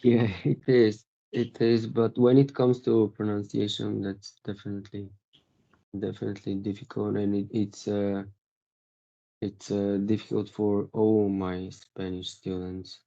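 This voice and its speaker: Host: man, gravelly low voice, dark tone, muffled lo-fi recording. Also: flat delivery, measured pace. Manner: asks questions with restarts. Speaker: language teacher and podcast host. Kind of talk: casual interview questions. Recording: dull lo-fi recording.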